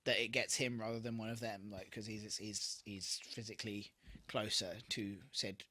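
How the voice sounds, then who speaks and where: A man co-hosting a re-watch podcast in casual chat, animated and prone to acting out bits of dialogue as he retells scenes. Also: on the low side, moderate pace. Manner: animated, often breaks into laughter, swears casually. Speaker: podcast co-host.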